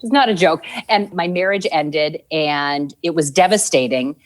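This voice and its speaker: A woman's voice: low voice, measured pace. A woman in her forties, a TV news anchor, talking casually in an interview and acting out remembered exchanges as she tells her story. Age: 40s. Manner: lively storytelling with acted-out quotes, frequent laughs. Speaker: TV news anchor, podcast guest.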